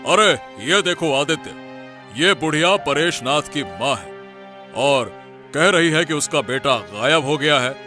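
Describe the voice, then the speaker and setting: A male actor plays a commanding cartoon king. His voice is slightly high and bright, full of firm questions, with faint music behind it. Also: expressive, a little slow. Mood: concerned. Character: authoritative king, questioning and concerned. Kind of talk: animated Hindi cartoon story acting. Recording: music under the voice.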